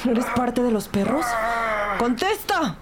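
A woman's voice: fairly high pitch, very animated, takes it slowly, very noisy. An actress playing an earnest, determined female police investigator who narrates a crime audio drama in the first person. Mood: demanding. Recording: studio drama under loud music bed.

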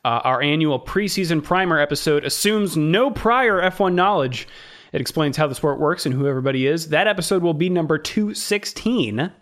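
Man, podcast host, relaxed friendly delivery, somewhat high pitch, casual chat. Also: a little fast. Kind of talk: casual chat with scripted show intro.

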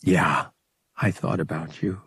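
A man playing a cocky young male space pilot in an audiobook, earnest and tender underneath, with a soft, slightly gruff delivery. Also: low-pitched, steady tone, slow pace, no room sound, pitched below the performer's own voice, heavily acted. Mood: tender, honest.

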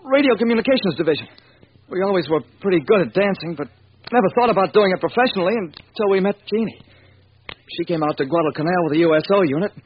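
An old, dull radio recording with some hiss features an excitable, earnest man. His voice sits high and swings widely as he speaks with emphatic period radio delivery. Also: quick pace. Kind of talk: 1940s radio acting.

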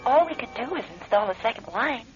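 A polite phone company official played by a woman explains things in a fairly high, measured, formal voice. It is radio drama with slightly dull sound. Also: animated, loud music behind the voice, close to an everyday voice. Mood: polite, puzzled.

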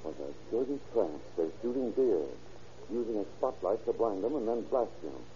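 An actor playing a gruff American police chief in an old-time radio crime drama, giving clipped, slangy orders on a muffled, noisy vintage recording. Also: male voice, on the low side, expressive, moderate pace, close to an everyday voice. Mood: grim, determined. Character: gruff, commanding police chief, cautious and determined.

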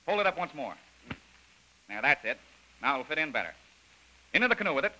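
Fast, forceful jungle adventurer Jungle Jim. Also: male voice, a little high, sing-song, exaggerated voice, poor audio. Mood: busy, good-natured. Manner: brisk, take-charge. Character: Jungle Jim, brisk commanding adventurer hero, man. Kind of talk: 1930s radio serial acting. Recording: old radio recording with faint noise.

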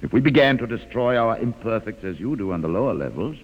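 Brief: low, husky, gravelly mutant chief, learned and dryly ironic, old radio play with long reverb. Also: male voice, very animated, phone-quality sound. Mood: calm, wry. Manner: measured, ironic, quietly commanding. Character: calm, wry, educated male mutant leader. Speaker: mutant leader. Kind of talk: 1950s radio drama acting.

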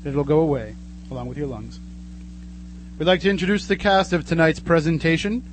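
An actor playing a sardonic, gleefully macabre narrator and announcer in old-time radio horror style, theatrical and wry. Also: male voice, a little high, brisk, a little hiss or hum, natural voice, poor audio. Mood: mock-solemn.

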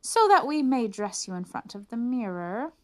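A woman voicing scheming male swindlers who flatter in slow, drawn-out tones. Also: fairly high pitch, voice pushed up.

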